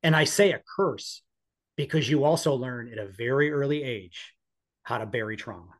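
Male, 40s, American accent, mid-pitched voice; conversational interview guest sharing personal stories. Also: normal speed. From northeastern United States. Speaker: podcast guest, police officer and former EMT.

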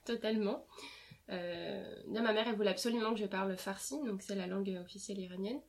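A young French woman in a relaxed interview, talking over her upbringing. She hesitates often, fills pauses with small sounds and restarts her sentences, in an ordinary mid-range voice. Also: medium pitch. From France. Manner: hesitant, many fillers and restarts. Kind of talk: casual interview conversation.

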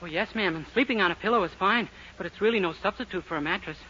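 An actor playing a naive, earnest, lovesick young boarder in a 1940s radio comedy. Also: male voice, high voice, quick pace, natural voice. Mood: meek, innocent. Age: young adult. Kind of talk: 1940s radio sitcom acting. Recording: noisy, muffled 1940s radio recording.

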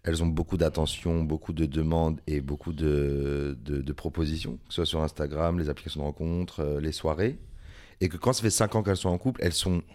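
A man's voice: rumbling low, fairly even intonation, brisk, a little mellow. A man in a casual podcast chat, emphatic and joking as he lays out his views.

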